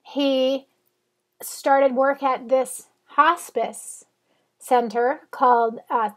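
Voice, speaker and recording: A woman's voice: high-pitched, fairly even intonation, very slow. A woman in her forties, stuffed up from a cold, chatting in a rambling, self-correcting way as she talks to her blog readers. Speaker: blogger. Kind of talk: casual vlog chat.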